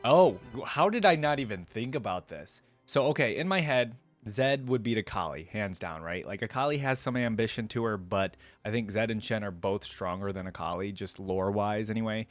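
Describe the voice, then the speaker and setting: A man with a fairly low voice chats casually as a reaction host. He thinks out loud and tosses informal asides to viewers, with faint music underneath. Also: lively intonation, normal speed, few pauses, no room sound. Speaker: host of a game voice-line reaction video.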